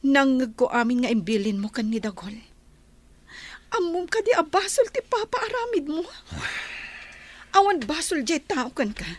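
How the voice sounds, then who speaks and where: A woman's voice: sits high, sing-song, moderate pace, long pauses. An actress with an Ilocano accent playing an earnest, pleading young wife of a rich man. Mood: reproachful.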